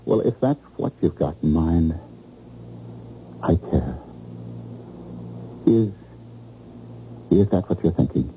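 A man's voice: sits low, lively intonation, slow, long pauses, dark tone. An actor playing an anxious, jealous, well-to-do stockbroker in an old-time radio drama, agitated and repeating his words insistently. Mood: earnest, halting. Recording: muffled, noisy old radio recording.

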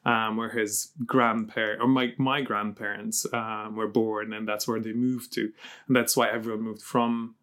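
A man's voice: fairly low pitch, little pitch movement, normal speed, a bit bright. Chatty guy from lebanon in his thirties, lecturer on a podcast, laughs easily. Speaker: guest academic, university lecturer. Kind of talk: casual interview chat.

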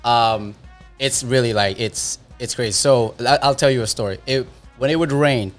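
A man's voice: mid-range voice, moderate pace, faint music behind. A man in his thirties from the Philippines, chatting casually about growing up, rambling and correcting himself as he goes. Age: 30s. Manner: rambling, self-correcting storyteller. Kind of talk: casual chat.

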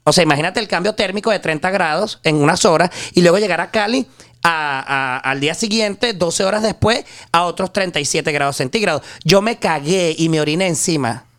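A Venezuelan man telling a story in a casual podcast chat, slangy and animated, often restarting his sentences. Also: fairly high pitch, brisk. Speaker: podcast guest, journalist.

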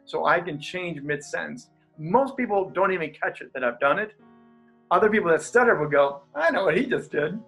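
Energetic pastor with a stutter. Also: male voice, sits high, normal speed, slightly rough, faint music behind. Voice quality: has a stutter. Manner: energetic, animated, laughs easily. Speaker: pastor and coach. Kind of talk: interview guest, casual talk.

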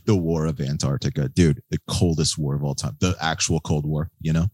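An American man hosting a podcast in casual, slangy chat, with a husky voice and a laid-back manner. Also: a bass voice, fairly even intonation, a little fast, darkish tone, dry recording, clean studio sound. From United States. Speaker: podcast host. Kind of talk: casual chat about motor racing.

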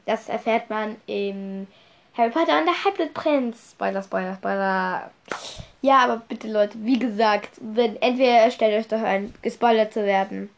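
A young woman with a cold, chatting casually on her solo podcast and rambling through her notes, spelling words out letter by letter. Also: medium pitch. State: stuffy nose from a cold. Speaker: podcast host.

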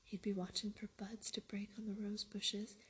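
A woman whispering the lines of a kind, calm Yorkshire farm boy in a storybook reading, keeping a soft Yorkshire dialect. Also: medium pitch, normal speed, slightly rough. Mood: gentle. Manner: whispered, gentle.

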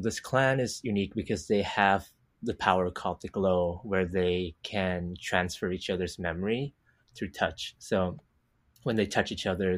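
A young man from Vietnam, a guest on a podcast, talking thoughtfully and unhurriedly about his work, with many filler words. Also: deep voice. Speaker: podcast guest, comic artist. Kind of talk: casual interview talk.

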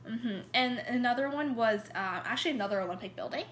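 A woman's voice: fairly high pitch, quick pace, a bit bright, a little hiss or hum. A woman giving a casual, informal presentation, hesitant, with many fillers and restarts. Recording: echoey room.

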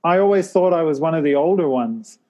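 A man in his late fifties with a somewhat high speaking voice. He hosts a relaxed conversation, pausing with fillers and laughing readily as he asks questions. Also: highs cut off. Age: 50s. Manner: chatty, hesitant, laughs easily. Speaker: podcast host. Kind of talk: casual interview chat.

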